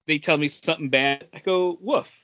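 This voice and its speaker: A man's voice: on the high side, normal speed, bright, forceful tone, lo-fi sound. A man co-hosting a podcast in casual chat, telling anecdotes and acting out little exchanges as he goes. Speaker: podcast co-host.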